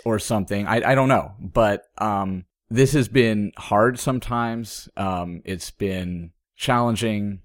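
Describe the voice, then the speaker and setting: A man's voice: lowish voice, no room sound, clean studio sound. A man co-hosting a podcast, a little stuffed up and under the weather, talking thoughtfully with fillers and small laughs. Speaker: podcast co-host. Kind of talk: casual chat.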